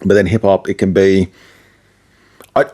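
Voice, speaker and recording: A man's voice: low-pitched, little pitch movement, unhurried, warm tone. A middle-aged man with an Australian accent, a radio presenter talking casually in an interview and thinking aloud as he goes. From Perth, Australia.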